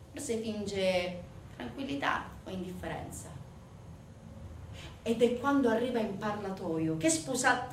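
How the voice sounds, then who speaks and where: Recorded from a distance in an echoey room with faint noise, a woman narrates a stage monologue. Her delivery is dramatic and emphatic, moving between hushed and forceful phrases with deliberate pauses. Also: mid-range voice. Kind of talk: dramatic theatrical monologue storytelling.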